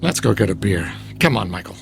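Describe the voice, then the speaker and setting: An actor playing a loyal, teasing drinking buddy in a radio drama, talking in a casual, colloquial way. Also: male voice, on the low side, very animated, fairly quick, creaky, background noise, heavily acted. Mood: concerned, friendly.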